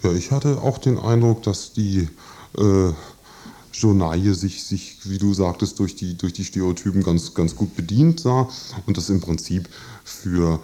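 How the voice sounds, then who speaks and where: A man giving an explanatory report as a radio interview guest, careful and wordy, with pauses as he formulates. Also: low voice, warm tone. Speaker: trial reporter, studio guest.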